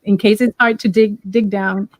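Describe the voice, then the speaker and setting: A woman in her forties with a Puerto Rican accent, talking warmly and encouragingly as an interview guest, often restarting her sentences. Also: medium pitch, level tone, normal speed, no room sound. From Puerto Rico. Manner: warm, encouraging, with many restarts. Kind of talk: casual interview.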